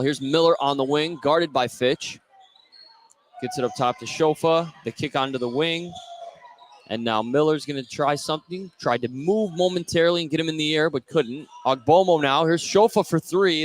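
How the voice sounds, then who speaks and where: A man's voice: a little high, moderate pace, slight background noise. An American man doing live radio play-by-play commentary of a basketball game as it unfolds. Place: indoor basketball gym during a game.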